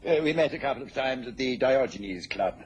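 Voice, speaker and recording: An actor playing a worried, dignified British government official in a radio drama, formal and hesitant. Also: male voice, medium pitch, animated, a little fast, close to an everyday voice. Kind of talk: mid-century radio drama acting. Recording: noisy old radio recording.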